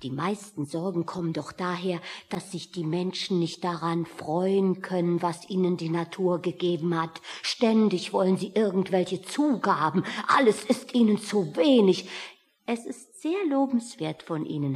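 A woman's voice: lowish voice, unhurried, darkish tone, husky, big-room sound. An actress playing an effusive, earnest woman who admires and lectures in a satirical radio play. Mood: earnest lecturing.

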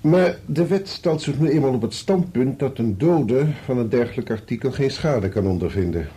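An actor playing a measured senior lawyer in a radio play, formal and deliberate as he explains. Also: medium pitch, lively intonation, mellow, natural voice. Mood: measured, authoritative. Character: measured male senior lawyer giving sober legal advice.

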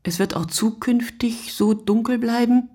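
A performer reading a literary radio play monologue in short, deliberate, reflective phrases. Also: mid-range voice, very noisy.

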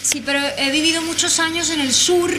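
High-voiced Mexican woman singing softly. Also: fairly even intonation, a little fast, noisy background. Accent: Mexican Spanish. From Mexico City. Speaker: guest singer. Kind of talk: singing with guitar and radio interview.

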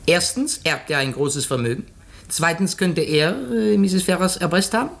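An actor in a 1950s German radio play playing a shrewd, courteous foreign detective, deliberate and theatrical, dropping in French phrases. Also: male voice, sits high, gravelly, a bit bright. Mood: thoughtful, contrary.

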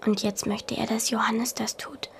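A woman playing a bright, eager, stubborn seven-year-old medieval girl in a German radio play, earnest and precise. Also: a little high, flat delivery, normal speed, vocal fry, a little hiss or hum. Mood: hushed pleading.

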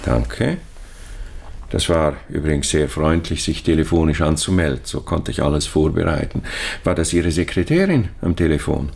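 An actor playing a courteous, discreet, middle-aged bank adviser in a radio crime drama, speaking formally and obligingly. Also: male voice, very deep voice, expressive, moderate pace, gravelly, darkish tone, slightly rough, heavily acted. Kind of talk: German radio drama acting.

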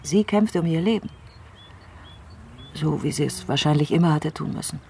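An actress playing a troubled, brooding politician in a crime radio play, speaking reflectively and intensely, sometimes halting. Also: female voice, deep voice, gravelly, a little mellow, a little hiss or hum. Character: troubled, brooding female politician doubting the official truth. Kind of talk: dramatic radio play acting.

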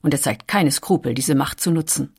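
A woman's voice: sits low, normal speed, a little mellow, slightly rough. A woman delivering scripted narration as a documentary narrator.

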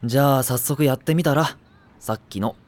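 An actor playing a level-headed, exasperated teenage schoolboy in an anime audio drama, dry and matter-of-fact. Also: male voice, medium pitch, moderate pace, a little mellow, natural voice. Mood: coaxing. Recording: prominent music behind the voice.

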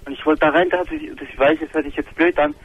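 A man's voice: fairly high pitch, steady tone, very fast, breathy edge. A man who is a native Romani speaker, calling a radio show over the telephone and giving an emphatic personal account. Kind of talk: personal account in casual chat. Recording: thin, narrow telephone line.

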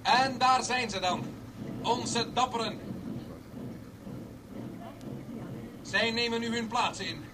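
High-pitched, pompous male tour guide. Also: a little slow. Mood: solemn, grandiose. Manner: declamatory, grandiose patter to a crowd. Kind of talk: radio drama acting. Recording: noisy old radio recording, distant from mic.

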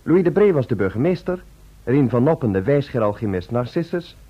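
A man's voice: mid-range voice, sing-song, fairly quick, breathy edge. A man announcing radio drama credits in a formal, vintage broadcast style. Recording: dull old radio recording.

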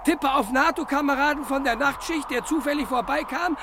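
A man's voice: very high voice, fairly quick. A man playing a detective's eager, deferential sidekick in a radio parody, with clipped, mock-earnest phrasing. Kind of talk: old German radio detective parody acting. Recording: slightly noisy old radio recording.

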